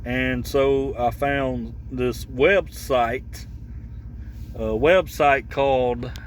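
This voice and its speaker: A man giving casual, unscripted talk about gear for his vlog, halting and rambling as he searches for words. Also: mid-range voice, a bit bright, slight background noise. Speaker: vlogger showing his CB radio.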